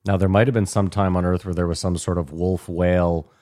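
Low-voiced man teasing dryly. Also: little pitch movement, brisk, a little mellow. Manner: dry, teasing, argumentative. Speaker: podcast co-host. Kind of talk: casual chat.

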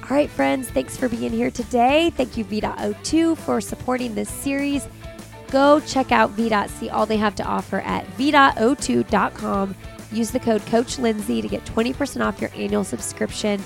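A woman hosting a podcast, raspy from a cold, chatting casually and laughing often. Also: on the high side, moderate pace, soft background music. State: recovering from a cold. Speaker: podcast host. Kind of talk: casual interview chat.

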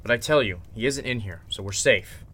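A man playing a confident, suspicious, sharp-minded district attorney who takes charge, in an old-style radio drama. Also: on the low side, fairly quick. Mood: commanding, reassuring. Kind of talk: golden age radio drama acting.

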